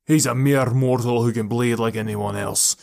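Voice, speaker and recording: Burly defiant man for an audiobook, gruff, grave, full of contempt, played by a male narrator. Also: mid-range voice, steady tone, moderate pace, a little mellow, natural voice. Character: big gruff ginger-haired man, fierce, defiant, soft-hearted. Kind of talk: audiobook character voice.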